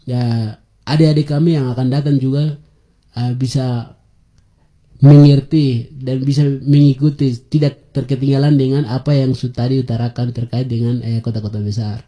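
A young man in his twenties speaks with a very dark, mellow tone. He is a guest in an interview, pausing often on filler sounds and laughing between points. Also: mid-range voice, little pitch movement, low-quality recording. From Papua highlands, Indonesia. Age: 20s. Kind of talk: conversational interview.

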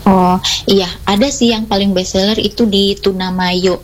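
A woman's voice: medium pitch, a little slow, a little hiss or hum, poor audio. A woman who runs a small food business, a guest on a casual radio talk, explaining things plainly with fillers. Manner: relaxed, explains plainly with fillers and tag words.

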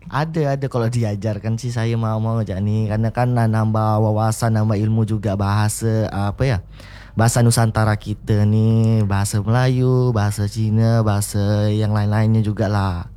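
Mellow man with Pontianak Malay accent. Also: on the low side, normal speed, clean tone. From Pontianak, Indonesia. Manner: relaxed, hesitant, laughs easily. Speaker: radio guest. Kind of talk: casual radio chat.

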